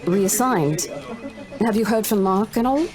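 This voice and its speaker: An actress voicing a brash, playful saleswoman colleague in a visual novel game, with a blunt, expressive way of talking. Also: medium pitch, unhurried, music in the background, natural voice. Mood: casual, blunt. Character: brash, teasing saleswoman colleague, switching to professional politeness.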